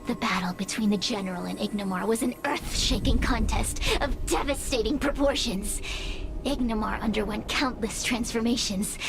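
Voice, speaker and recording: A woman voicing a proud, mocking fire spirit in a video game, speaking in a theatrical storyteller's manner. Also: a little high. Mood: grand storytelling. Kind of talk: video game character acting.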